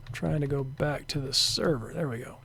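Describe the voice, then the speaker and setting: A man narrating an informal software demo as a developer, thinking aloud hesitantly and sometimes trailing off into a mutter. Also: medium pitch, brisk, creaky, slight background noise. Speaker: software developer demonstrating a networking tool.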